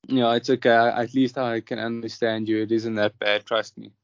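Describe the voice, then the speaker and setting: A man's voice: mid-range voice, level tone, moderate pace, no room sound. A young South African man whose first language is Afrikaans, a golfer answering interview questions hesitantly, with many ums and restarts. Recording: dull, dry, lo-fi sound.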